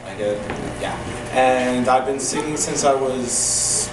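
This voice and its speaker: A man, a drum singer from New York, introducing himself in a relaxed, halting way with some fillers. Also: mid-range voice, over music. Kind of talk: spoken self-introduction. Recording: echoey room, distant mic, loud noise.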